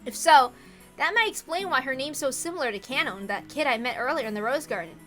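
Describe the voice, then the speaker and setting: Bright, high-voiced woman narrating. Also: lively intonation, a little fast. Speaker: narrator and reader of a visual novel. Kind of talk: scripted narration with casual asides. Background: faint music.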